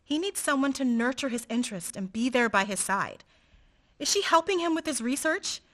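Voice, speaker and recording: A woman's voice: high-pitched, lively intonation, moderate pace, a bit bright. A woman acting a willful, defiant young woman in love in a radio play.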